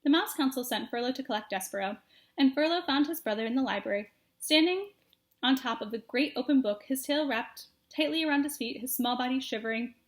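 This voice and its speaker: A woman's voice: high voice, expressive, fairly quick. A woman narrating a children's storybook expressively, as someone reading aloud to young listeners. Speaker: storybook reader.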